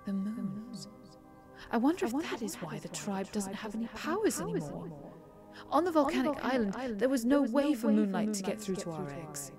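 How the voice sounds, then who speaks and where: A woman's voice: a little high, big pitch swings, normal speed. A woman voicing a timid, anxious young female dragon in a fantasy audio drama, with hesitant, earnest questions. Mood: curious. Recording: music bed under the voice. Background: background music.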